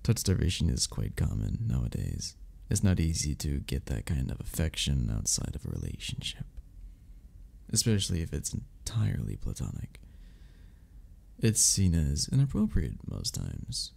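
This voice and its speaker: A man playing a gentle, patient, flirty cuddle club member in an intimate ASMR romance roleplay, soft-spoken, considerate and reassuring, with a gravelly, husky voice. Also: extremely low pitch, huge pitch swings, moderate pace, full character voice.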